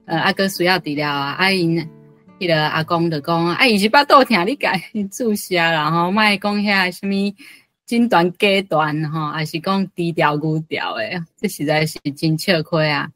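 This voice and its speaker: A woman's voice: lowish voice, normal speed, fairly bright tone, no room sound, faint music behind. A woman speaking as a guest commentator, sharing informal reflections in a conversational way. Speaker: guest commentator, book club member.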